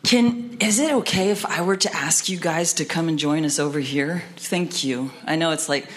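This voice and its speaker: A woman whose first language is French, speaking fluent English as a guest speaker at a church gathering, polite and gently laughing. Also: sits low, moderate pace.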